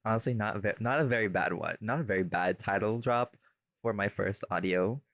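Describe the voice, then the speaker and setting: A man's voice: fairly low pitch, brisk, a bit bright, dry recording. A man co-hosting a casual podcast, talking informally with a dry, teasing manner. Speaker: podcast co-host, voice actor. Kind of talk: casual behind-the-scenes podcast chat.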